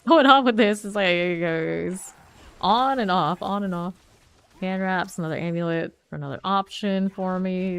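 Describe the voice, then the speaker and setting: Woman, lowish voice, congested, sniffly, casual gameplay streaming, thinks aloud. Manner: thinks aloud, chatty and self-questioning.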